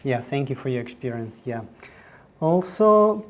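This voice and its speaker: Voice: mid-range voice, animated, a little slow, a little hiss or hum. An interviewer asking questions in English with some non-native phrasing.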